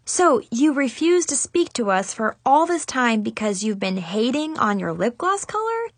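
A woman voicing a sarcastic, excitable schoolgirl in a storybook, with a gushing, exaggerated way of talking. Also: sits high, measured pace, dry recording, voice pushed up, full character voice. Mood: disbelieving. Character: dramatic, sarcastic, excitable schoolgirl telling her own story.

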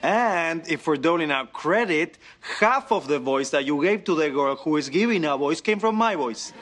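An actor in a sitcom, speaking in a dramatic, self-important way. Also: male voice, a little high, animated, normal speed, fairly bright tone. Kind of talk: sitcom acting. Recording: noisy sitcom audio with laughter.

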